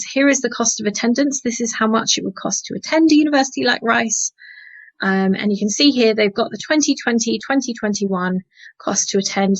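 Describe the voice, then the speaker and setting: A woman's voice: mid-range voice, lo-fi sound. A woman talking students through a website on a video call, chatty and full of fillers. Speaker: panel speaker guiding students. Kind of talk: screen-share walkthrough.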